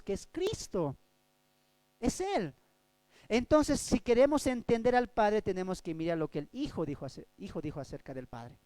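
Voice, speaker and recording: A man from Bolivia, preaching a Bible study sermon as a pastor, swinging between loud and soft delivery. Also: sits high, no room sound.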